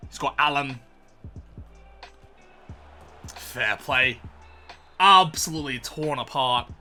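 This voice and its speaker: Young guy gaming commentary, bit croaky and strained, bright voice, chatty, calls everyone boys. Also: medium pitch, slow, soft background music. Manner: chatty, calls viewers boys, erupts into loud excited shouts. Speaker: gaming video host.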